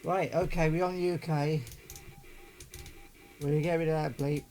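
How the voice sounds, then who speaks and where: A man's voice: a little high, steady tone, moderate pace, mellow, soft background music. A middle-aged man chatting casually and muttering to himself as he does a hands-on unboxing. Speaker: hobbyist presenter unboxing a CB radio. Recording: clear background noise.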